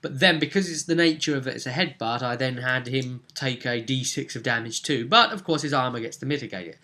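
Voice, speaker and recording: A man's voice: mid-range voice, brisk, sharp tone. A man in casual conversation, recapping a tabletop roleplaying session as game master and explaining things chattily, thinking aloud.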